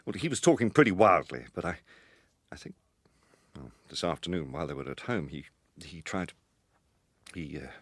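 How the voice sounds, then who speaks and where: An actor playing an anxious, protective British gentleman in a radio detective drama, speaking in a hesitant, apologetic way. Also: deep voice, animated, moderate pace, long pauses, dry recording. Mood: distressed, halting.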